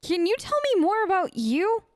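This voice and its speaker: A woman's voice: extremely high pitch, sing-song, moderate pace, no echo, studio quality. A woman role-playing in a video game let's play, casual and wry with a hesitant, improvised delivery.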